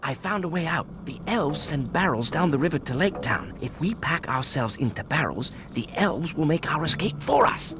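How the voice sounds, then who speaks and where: A man's voice: a little high, lively intonation, moderate pace, hardly any pauses, noisy background. A man voicing a plucky, resourceful hobbit adventurer in a video game. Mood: eager, planning. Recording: loud game music over the voice.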